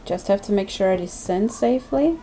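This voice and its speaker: Woman, let's-play commentator, warm low-key tone, light hiss under the voice, chatty and self-mocking. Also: expressive, brisk. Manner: chatty, self-deprecating, thinking aloud. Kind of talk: casual gameplay commentary.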